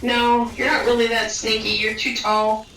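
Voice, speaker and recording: A woman's voice: on the high side, level tone, normal speed, noisy background. A woman chatting casually as a guest on a game stream, easygoing and chatty. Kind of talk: casual gameplay chat. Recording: roomy, distant mic.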